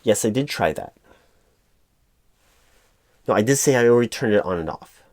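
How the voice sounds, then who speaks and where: Guy acting as a frazzled hiring manager, low voice, keeps stammering and repeating himself, frustrated, really dry close sound. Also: lively intonation, dry recording. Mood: confused, frustrated. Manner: halting, stammering, repeats words; frustrated, hesitant. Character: flustered, apologetic male corporate hiring manager. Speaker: recruiting manager in a one-sided roleplay. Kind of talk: improvised audio roleplay, one side of a conversation.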